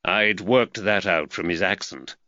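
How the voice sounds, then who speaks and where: Guy doing a calm dry-witted detective, polite, bit dull-sounding mic. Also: deep voice, unhurried, no room sound.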